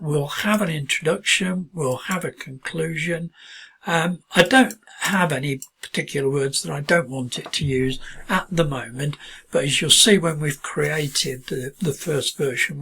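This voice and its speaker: A middle-aged British man walks through a piece of software on screen, talking in a relaxed, halting way full of ums and restarts. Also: on the high side, breathy edge. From United Kingdom. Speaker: software tutorial presenter. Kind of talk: screen-recorded software walkthrough.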